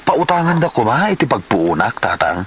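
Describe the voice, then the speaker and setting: A man with an Ilocano accent playing a scheming, smooth-talking gambler husband in a comedy drama, with an animated, exclamatory delivery. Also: medium pitch, moderate pace, a little hiss or hum. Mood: coaxing.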